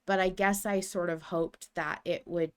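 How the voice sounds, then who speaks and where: Woman, lowish pitch, clear resonant voice, casual podcast chat, hesitant, self-deprecating. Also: steady tone, measured pace. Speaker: podcast co-host.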